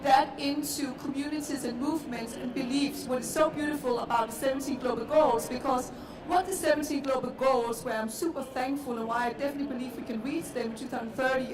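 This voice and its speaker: A woman with a Danish accent, speaking earnestly and with emphasis as a panelist at a conference. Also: high-pitched. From Denmark. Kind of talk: panel discussion. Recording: echoey hall, distant mic, noisy.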